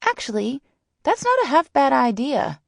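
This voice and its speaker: Audiobook role: sweet anxious teen girl, played by a woman narrator, raised pitch, prim, snappish, slightly creaky edge. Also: sing-song, normal speed, no room sound, studio quality, heavily acted. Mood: sly. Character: anxious, sweet teenage girl, indignant when pushed.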